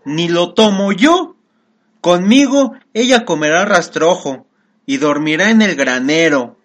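Coarse, animated country bumpkin played by a man. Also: sits high, slow, full character voice. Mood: incredulous, scornful. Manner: rustic, slangy, exclamatory. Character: coarse, harsh country bumpkin mule buyer, easily astonished. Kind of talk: comic radio play acting.

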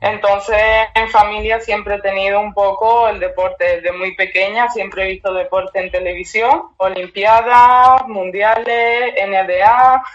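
A young woman, born in Cuba and raised in Spain, tells her story in a relaxed, chatty way, laughing easily between thoughts. Her voice sits at a middle pitch. It comes through a somewhat muffled remote connection. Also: a little slow. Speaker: guest volleyball player. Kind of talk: casual interview answers. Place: remote call from abroad. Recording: dull lo-fi remote line.

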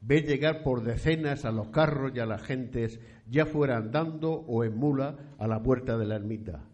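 An actor playing a pious, stately eighteenth-century Spanish landowner in a radio play, speaking in a solemn, formal and courteous old-fashioned way. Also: male voice, mid-range voice, normal speed, a little mellow, close to an everyday voice. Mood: reverent, nostalgic. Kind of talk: scripted historical radio play. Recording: dull, muffled lo-fi recording.

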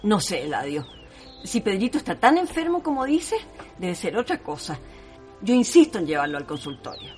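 A woman's voice: medium pitch, wildly swooping pitch, normal speed, soft background music. A woman playing a caring, insistent city woman in a radio drama, with a Chilean accent and an earnest, urging delivery. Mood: concerned, insistent. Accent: Chilean Spanish.

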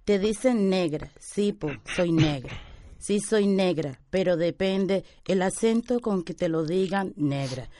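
A woman with a mellow, warm tone speaks in an interview. She is reflective and keeps returning to the same phrases as she thinks them through. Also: lowish voice, unhurried, dry recording. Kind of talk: interview testimony.

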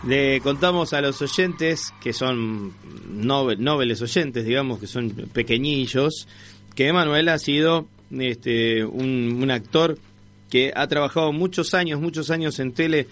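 A man with an Argentine accent and a mid-pitched voice hosts a radio interview. His delivery is conversational and somewhat halting, with words repeated as he moves toward his point. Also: fairly bright tone. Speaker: radio show host.

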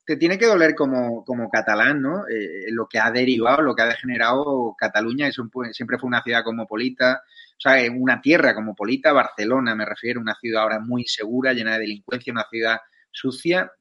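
A man's voice: mid-range voice, fairly quick, sharp tone, lo-fi sound. A man with a Spanish accent from Spain, putting conversational questions as a political interviewer.

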